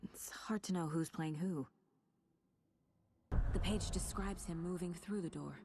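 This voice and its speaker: An actress playing a resolute investigator trapped in a nightmare in a video game, thinking aloud with focus. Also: female voice, lowish voice, measured pace. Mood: focused, searching. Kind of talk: naturalistic video game acting. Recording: loud ambient noise behind voice.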